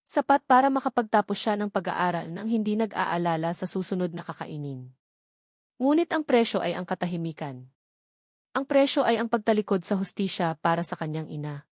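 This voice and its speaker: A woman's voice: on the low side, expressive, brisk, a little mellow, no echo. Dry-sounding woman narrating a drama. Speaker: story narrator. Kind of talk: scripted dramatic love-story narration.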